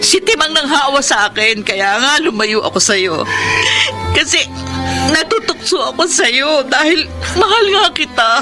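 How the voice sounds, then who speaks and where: A woman's voice: sits high, lively intonation, measured pace. An actress with a Filipino accent playing an anguished, guilt-ridden woman in an emotional, pleading radio drama style. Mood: tearful confession. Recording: radio drama with loud music bed.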